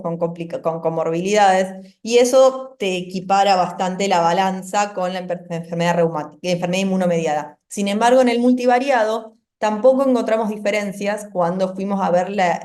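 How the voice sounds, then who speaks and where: A woman's voice: mid-range voice, a little mellow. A woman with an Argentine accent, a rheumatologist in her thirties, answering questions on a video call with frequent fillers and restarts. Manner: thoughtful, many fillers and restarts. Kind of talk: answering questions in an online medical seminar.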